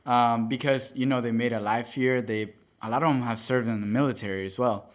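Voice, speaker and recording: A man's voice: medium pitch, steady tone, brisk. A young man from El Salvador, a podcast guest, telling his story earnestly with frequent fillers and self-corrections. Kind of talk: casual interview storytelling.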